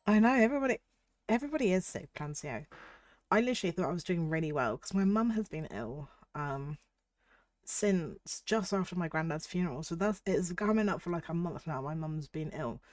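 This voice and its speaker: A young British woman streaming as a VTuber, chatting casually and reading chat aloud while her voice is husky from a cold. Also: lowish voice, lively intonation, fairly quick, darkish tone, dry recording. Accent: British English. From United Kingdom.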